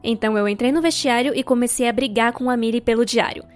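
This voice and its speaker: A Brazilian woman narrating a scripted animated storytime fan dub in an expressive, theatrical, sarcastic manner. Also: a little high, fast, faint music behind.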